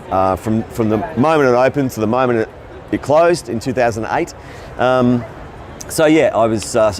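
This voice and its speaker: A man's voice: medium pitch, a little mellow, a little hiss or hum. A middle-aged Australian man, a voice actor telling his career story in a loose, rambling way at a convention. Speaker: voice actor interviewee. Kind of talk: casual interview answers. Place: convention floor.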